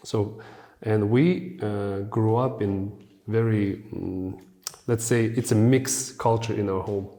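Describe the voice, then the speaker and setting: Mellow, low-voiced male podcast host. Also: takes it slowly. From Kazakhstan. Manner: lively, anecdotal storytelling with frequent "you know". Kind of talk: casual conversation.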